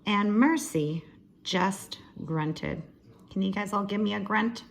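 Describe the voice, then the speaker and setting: A woman reading a storybook aloud to young children like a teacher, expressive, with friendly asides to her listeners. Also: medium pitch, takes it slowly, vocal fry.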